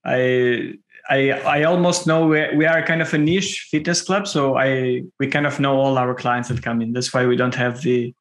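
A man's voice: medium pitch, steady tone, moderate pace. A young man hosting a podcast over a video call, speaking hesitantly with many fillers and restarts. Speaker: podcast host. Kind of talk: casual interview chat.

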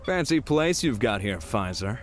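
A man's voice: mid-range voice, sing-song, normal speed, slight background noise, close to an everyday voice. A man playing a sly, smug arsonist villain who taunts theatrically in an audio drama. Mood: mocking. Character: sly, mocking male arsonist villain relishing destruction.

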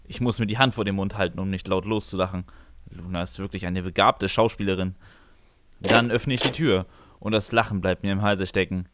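A man's voice: sits low, fairly even intonation, a little fast, fairly bright tone, slight background noise. A man reading scripted first-person comic narration for a fan fiction audio story.

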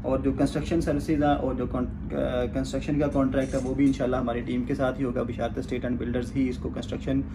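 A man's voice: medium pitch, flat delivery, quick pace, hardly any pauses, background noise. A man in his thirties with a Pakistani Urdu accent, hosting a real estate video from a small office, polite and formal with hesitant fillers. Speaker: real estate agent host. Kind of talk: promotional interview and sales pitch.

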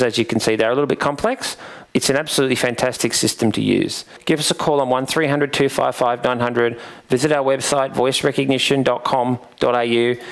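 Man presenting a software demo. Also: mid-range voice, clear, resonant tone. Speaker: presenter, software vendor demonstrating a product. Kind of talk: informal software tutorial and demonstration. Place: indoors, presenting at a computer. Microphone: headset microphone.

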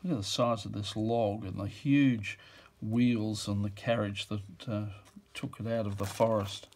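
Male auctioneer, lowish voice, offhand musing delivery, fillers and self-corrections. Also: fairly even intonation, measured pace, a little mellow. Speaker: auctioneer describing a lot. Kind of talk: casual off-the-cuff commentary. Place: indoors at a table.

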